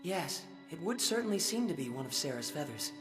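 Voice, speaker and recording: Polite, composed young elf. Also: female voice, sits low, sing-song. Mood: thoughtful. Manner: measured, formal phrasing. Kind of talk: English-dubbed anime-style game acting. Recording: hall reverb, music behind.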